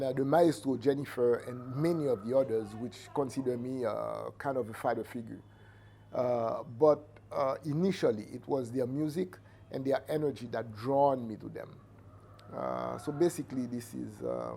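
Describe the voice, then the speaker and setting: A middle-aged man with a Haitian accent giving reflective interview answers as a Vodou priest, measured and halting as he searches for words. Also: medium pitch, creaky, a little mellow. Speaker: Vodou priest and cultural entrepreneur. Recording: noticeable background ambient noise.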